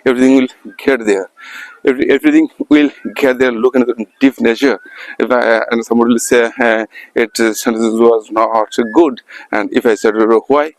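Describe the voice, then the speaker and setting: A man from India with an Indian English accent, speaking English as a second language in a halting, repetitive motivational monologue to camera, filmed at a calm, quiet spot. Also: medium pitch, no echo, poor audio. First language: not English. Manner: halting, repetitive non-native phrasing. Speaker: English learner vlogger.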